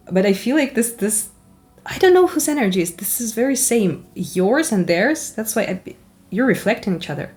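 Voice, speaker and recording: A woman from Ukraine with a Ukrainian accent, a native Russian speaker, giving a chatty tarot card reading in an animated, giggly, rambling and candid way. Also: mid-range voice. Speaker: tarot reader.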